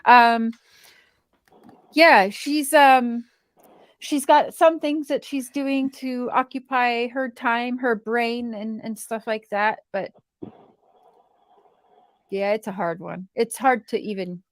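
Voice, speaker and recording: A woman chatting casually in an earnest, protective and halting way, as on a livestream. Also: a little high, steady tone, no echo.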